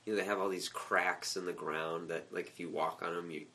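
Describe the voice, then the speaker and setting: A man co-hosting a casual gaming podcast, his voice a little worn from a cold, talking in a relaxed way with laughs between points. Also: deep voice, brisk, a crowd in the background. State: slightly lost voice. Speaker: podcast co-host.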